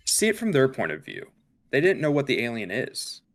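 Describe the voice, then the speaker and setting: A calculating android played by a man in a dramatic table read. The male voice sits at a middle pitch, measured and precise, with a cool air of superiority over humans. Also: huge pitch swings. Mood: cool, evasive. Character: cold, calculating male android, damaged and condescending.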